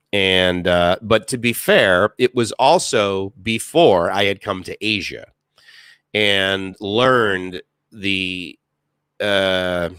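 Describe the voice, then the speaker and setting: A man's voice: low-pitched, fairly bright tone, clean tone, no room sound, studio quality. A man, hosting a casual live stream, rambling with many fillers and reading chat comments aloud.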